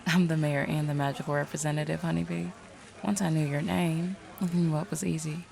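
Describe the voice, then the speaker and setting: A deep female voice, heavy with vocal fry, an actress playing a mayor who is also a seer. She is confident and shrewd, talking in a direct, folksy, knowing way, as in an audio drama. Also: fairly even intonation, a little fast, warm tone. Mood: knowing, teasing. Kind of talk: audio roleplay acting.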